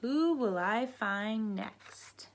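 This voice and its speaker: A woman's voice: on the high side, expressive, very slow, creaky, a little hiss or hum, exaggerated voice. A woman voicing a patient, playful mother duck in a storybook, calling out in a singsong way. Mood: patient, curious.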